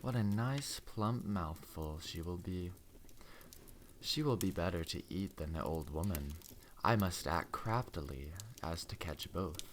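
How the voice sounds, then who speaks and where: A man voicing a sly, wicked storybook wolf with a soft, courteous, coaxing manner. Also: sits low, expressive, a little slow, slight background noise. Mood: sly, greedy.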